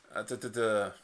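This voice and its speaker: A man hosting his own channel, reasoning aloud in casual commentary. He is passionate and restarts often, and says his naturally loud voice is held low on purpose. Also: echoey room. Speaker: channel host. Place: hot indoor room.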